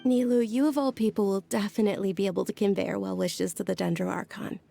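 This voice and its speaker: A woman voicing a kind, supportive young friend in a game, speaking softly and encouragingly. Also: medium pitch, fairly even intonation, fast talker, vocal fry, faint music behind. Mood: admiring. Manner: soft encouraging. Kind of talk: game cutscene voice acting.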